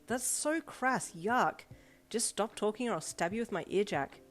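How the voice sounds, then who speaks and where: A woman voicing a disgusted, sharp-tongued teenage girl. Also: mid-range voice, lively intonation, unhurried, faint music behind, voice pushed up, lightly acted.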